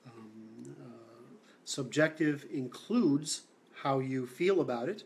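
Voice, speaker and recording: A man from Seattle hosting a livestream, answering comments in a halting, blunt way with many fillers and restarts. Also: mid-range voice, creaky, slight background noise. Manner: blunt, opinionated. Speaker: livestream host.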